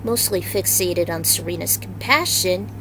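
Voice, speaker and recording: A woman's voice: on the low side, moderate pace, background noise. A woman reading a dramatic fantasy story aloud as its narrator, in an amateur recording. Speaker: fan fiction reader. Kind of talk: story narration with character voices.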